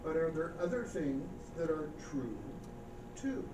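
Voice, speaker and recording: A man preaching a sermon as pastor, reading scripture slowly and with emphasis, then speaking casually and asking the congregation questions. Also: on the high side, sing-song. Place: church sanctuary. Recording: echoey church recording from far off with background noise.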